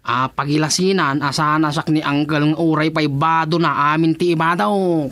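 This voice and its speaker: An earnest, naive young Ilocano cousin in a radio comedy. The voice sits low and explains things in a good-natured way. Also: female voice, fairly even intonation, normal speed, fairly bright tone. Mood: explaining, protesting. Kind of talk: radio comedy drama acting.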